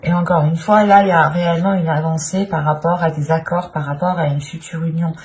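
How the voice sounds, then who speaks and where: A woman's voice: sits low, fairly even intonation, a little fast, darkish tone. A woman giving an improvised tarot reading as a channel host, speaking in a searching way and often repeating her phrases.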